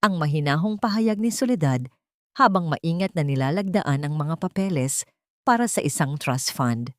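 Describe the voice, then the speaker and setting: A woman's voice: on the low side, a little mellow, no echo, clean studio sound. A woman reading a scripted dramatic story as its narrator.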